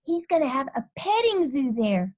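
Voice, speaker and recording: A woman voicing loud, eager little schoolgirls bragging in a children's storybook reading. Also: high-pitched, very animated, normal speed, voice pushed up. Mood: excited. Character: excited little girls, hollering school friends. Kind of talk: children's storybook read aloud.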